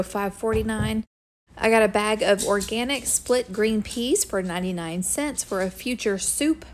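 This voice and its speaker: A woman's voice: mid-range voice, slight background noise. A woman, casually chatting through her grocery haul and weekly meal plan at home. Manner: chatty, friendly, quick asides. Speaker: homestead vlogger. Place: home kitchen. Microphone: camera a few feet away.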